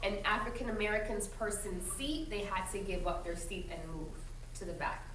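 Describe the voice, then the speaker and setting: A woman teacher reading a story aloud expressively to young children, pausing to explain it in simple, instructive asides. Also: mid-range voice, a bit bright. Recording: roomy echo, distant mic, faint noise.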